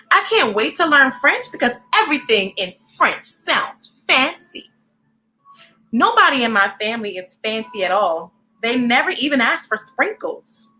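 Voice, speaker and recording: An animated, encouraging woman hosting a storybook read-aloud, speaking directly to children in an expressive way. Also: on the high side, rough recording.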